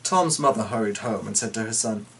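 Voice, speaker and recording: A man's voice: lowish voice, lively intonation, a little fast, creaky, fairly bright tone. A man giving a dramatic storybook reading, breaking off into chatty, irreverent, sweary asides. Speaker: storyteller reading a folk tale aloud. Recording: distant mic with faint background noise.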